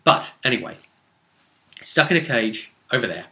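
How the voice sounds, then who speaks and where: Male game master, mid-pitched and bright, telling an adventure recap in an informal, emphatic, conversational way. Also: lively intonation, moderate pace. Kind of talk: casual narrated recap of a role-playing adventure.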